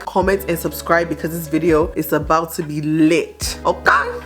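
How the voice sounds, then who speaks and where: A young woman from Sierra Leone with a Nigerian-tinged West African accent, giving blunt, teasing dating advice to camera. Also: lowish voice. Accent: Nigerian-influenced West African English. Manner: blunt, teasing, emphatic with slang. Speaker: vlogger. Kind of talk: frank advice talk to camera.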